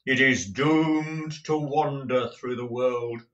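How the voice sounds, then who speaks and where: A man voicing a doomed ghost in chains, speaking slowly and gravely. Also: medium pitch, steady tone, a bit bright, muffled recording. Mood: solemn. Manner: slow, drawn-out, grave delivery. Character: doomed male ghost in chains, solemn and mournful.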